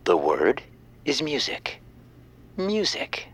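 A man playing a hushed, deliberate game-show announcer in an audio drama, with a breathy voice. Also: medium pitch, wildly swooping pitch, heavily acted. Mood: hushed, solemn.

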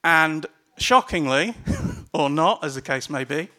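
A man's voice: on the high side, expressive, slow, bright, forceful tone. A man speaking casually and loosely to a packed meeting room, urging the crowd with some swearing and laughs. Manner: loose and chatty, begging with laughs. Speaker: panel speaker at a political event.

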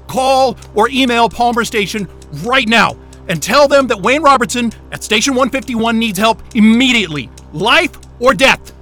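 A man's voice: extremely high pitch, lively intonation, normal speed, bright, forceful tone, soft background music, full character voice. An actor playing a panicked, sweary man stranded at a polar station in an audio drama, urgent and exasperated. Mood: desperate, urgent.

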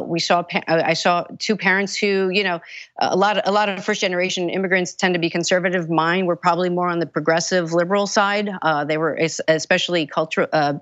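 A woman's voice: fairly low pitch, fairly bright tone, no echo, highs cut off. A woman, a congressional candidate giving earnest, urgent answers with many restarts. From United States. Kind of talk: interview answers.

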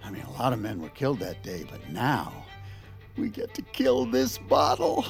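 An actor playing a boozy, folksy, fast-talking charmer of a Washington insider in an audio drama, full of rambling, jokey bluster. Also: male voice, mid-range voice, huge pitch swings, creaky, faint music behind, full character voice. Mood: theatrical, boozy.

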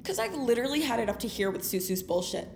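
A woman acts out a confrontational teenage girl with a fairly high voice and a biting, sarcastic delivery. It is an audio drama scene with roomy echo and music underneath. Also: sing-song. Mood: mocking sarcasm. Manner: mocking, biting, drawn-out sarcasm. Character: sarcastic, confrontational teenage girl, sharp-tongued bully among friends.

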